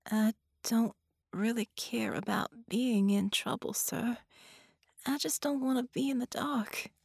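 A woman's voice: mid-range voice, animated, slow pace, a little mellow, breathy edge, dry recording, voice pushed up, heavily acted. Casting: narrator as a frail but stubborn woman veteran, folksy and pleading, wavering voice, audiobook drama, dry recording. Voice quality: wavering, fragile. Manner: folksy, plainspoken. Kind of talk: audiobook character dialogue.

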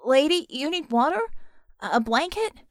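Young boy in an audiobook woman performs: sunny, kind, reassuring, higher-pitched. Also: sing-song, unhurried, no echo, studio quality. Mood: gentle concern. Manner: gentle, coaxing, reassuring.

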